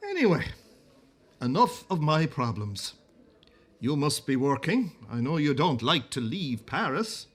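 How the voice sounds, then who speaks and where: An actor playing a celebrated, convalescing Irish singer in a radio drama, genteel and earnest, with a hesitant, fussy delivery. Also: male voice, fairly high pitch, very animated, measured pace, a bit bright, full character voice. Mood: polite interest.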